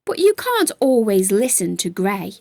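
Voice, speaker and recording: A woman's voice: mid-range voice, very animated, unhurried, mellow, no room sound. A woman voicing a friendly, encouraging young boy in a children's storybook. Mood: reassuring. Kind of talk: children's storybook read-aloud.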